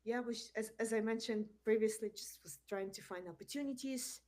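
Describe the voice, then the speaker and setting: A woman's voice: a little high, a little fast, mellow. A woman from Ukraine, not a native English speaker, answering interview questions in a hesitant way with many fillers. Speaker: guest.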